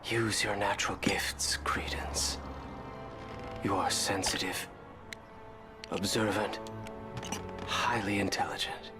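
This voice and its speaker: Creepy controlled wizard boss, deep soft voice, persuasive and commanding, movie score behind. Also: male voice, slow pace, long pauses, whispered, over music. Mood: quiet, coaxing. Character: controlled, persuasive, sinister senior wizard official. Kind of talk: film dialogue acting.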